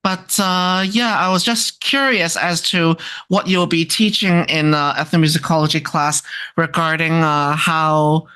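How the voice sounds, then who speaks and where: A speaker with a medium-pitched voice discusses things in an informal, scholarly way. The delivery is hesitant, with pauses to search for the right word. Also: fairly even intonation, pushed and bright, clean tone. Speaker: textbook author and music researcher.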